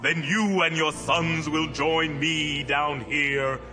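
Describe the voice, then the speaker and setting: Slow, stern ghostly prophet. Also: male voice, fairly high pitch, steady tone, sharp tone, faint music behind. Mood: grave, condemning. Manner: slow, solemn, condemning. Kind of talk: dramatized Bible audio acting.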